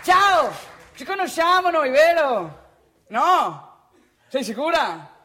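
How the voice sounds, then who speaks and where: A woman's voice: sits high, lively intonation, slow, pushed and bright, exaggerated voice. A woman performing a comic stage monologue as a brash, grumbling provincial man with a northeastern Italian accent, colloquial, boastful and repetitive. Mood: cocky, rebuffed. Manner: colloquial, boastful, repeats phrases, addresses listeners directly. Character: brash, grumbling provincial young man chasing women in bars.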